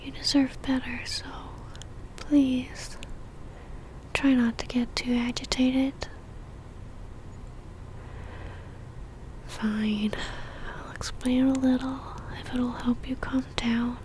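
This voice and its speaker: A woman plays a lovestruck female vampire stalker in an ASMR roleplay, whispering softly and breathily right up at the mic. Her voice is high and barely rises or falls, moving slowly and intimately as she coaxes with possessive tenderness. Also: flat delivery, slight background noise, rough recording. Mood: gently controlling. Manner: slow, intimate, coaxing. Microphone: close ASMR microphone.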